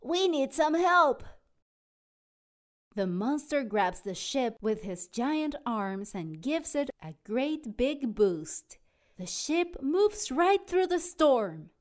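Animated, unhurried woman reading a storybook. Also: fairly high pitch. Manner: expressive, dramatic storytelling. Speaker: storybook narrator. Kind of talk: animated children's read-aloud.

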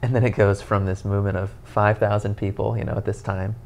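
Male pastor, 30s, low gravelly voice; earnest, animated podcast chat on a lapel mic. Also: brisk. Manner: animated, earnest storytelling with many "you know" fillers. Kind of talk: casual podcast conversation. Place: living room, on a couch, indoors.

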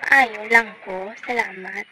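A woman's voice: medium pitch, steady tone, slow, natural voice. A woman playing a troubled, apologetic young student in an amateur radio drama. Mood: anxious, brooding. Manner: pleading, apologetic. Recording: loud music behind the voice.

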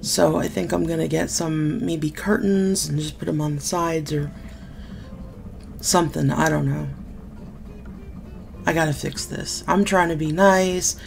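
A woman's voice: sits low, steady tone, a little slow, vocal fry, pauses often, faint music behind. A woman in her thirties, sick and losing her voice, chatting casually and candidly about beauty products on a desk webcam at home. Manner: chatty, candid, mildly sarcastic. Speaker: beauty vlogger. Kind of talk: casual product unboxing chat. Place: small room at home, indoors.

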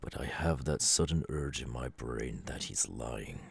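Guy playing a gruff older hero mentor for asmr roleplay, husky and gravelly, measured and serious, super dry close sound. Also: a bass voice, animated, dry recording, full character voice. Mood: serious, wary. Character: stern, gruff middle-aged male superhero mentor and father. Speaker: voice actor.

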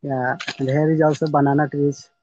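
A young man from India with an Indian accent, speaking halting, simple learner English in a casual practice conversation on a phone video call outdoors. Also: fairly high pitch, little pitch movement, darkish tone, dry recording. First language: not English. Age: young adult. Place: outdoors in a garden. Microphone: phone at arm's length, video call. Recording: dull lo-fi video call audio.

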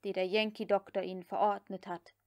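A woman's voice: mid-range voice, little pitch movement, a little fast, darkish tone, lightly acted. A woman voicing a deferential, anxious male house slave in an audiobook, proud but tactful, with a plaintive way of protesting. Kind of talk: audiobook character dialogue.

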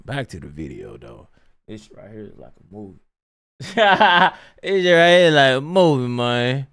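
A man's voice: medium pitch, sing-song, unhurried, gravelly, a bit bright. A young American man giving casual, slangy reaction commentary, repeating phrases in disbelief into a close studio microphone. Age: young adult. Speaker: reaction streamer. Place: small home studio room. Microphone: close boom studio microphone.

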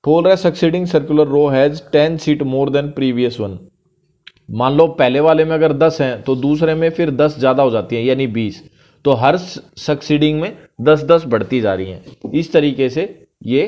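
A man's voice: fairly high pitch, darkish tone. Indian man explaining math step by step. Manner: explains step by step, reads English question lines slowly. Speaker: math teacher. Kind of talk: screen-recorded math lesson.

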